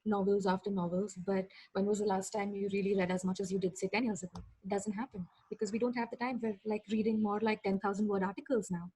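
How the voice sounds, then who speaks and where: A woman's voice: medium pitch, steady tone, quick pace, few pauses, mellow, slightly rough, rough recording. A woman with an Indian accent, talking casually as a guest on a podcast. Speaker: podcast guest, fiction podcast creator. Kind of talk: casual chat.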